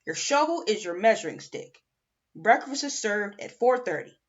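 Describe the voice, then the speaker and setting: A woman's voice: mid-range voice, very animated, normal speed, lightly acted. A woman voicing a blunt, domineering male camp counselor from a children's audiobook, commanding in manner, on a lo-fi home recording. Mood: stern, bossy.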